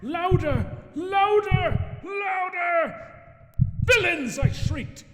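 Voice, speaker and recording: A man giving a theatrical reading of gothic horror as a cunning, unhinged murderer confessing, with intense dramatic pauses. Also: very high-pitched, lively intonation, fairly bright tone, raised voice. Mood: frantic, hysterical. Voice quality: breaks into whispers and shrieks. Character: mad murderer confessing, cunning, gloating, then frantic. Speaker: reader of a horror short story. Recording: roomy echo, long reverb, music underneath.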